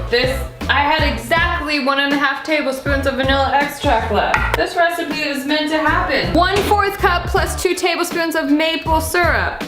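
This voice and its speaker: A woman's voice: sits high, roomy sound. A woman cooking in her home kitchen, chatting casually and joking at her own expense. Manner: chatty, jokey, self-mocking asides. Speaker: cooking vlogger. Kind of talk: casual cooking vlog chat.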